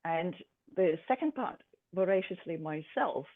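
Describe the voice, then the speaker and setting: A speaker born in Poland, an artist presenting her own work in a thoughtful, halting way with many pauses and fillers. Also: medium pitch, animated. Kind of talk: art presentation to a group. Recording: tinny phone-like sound.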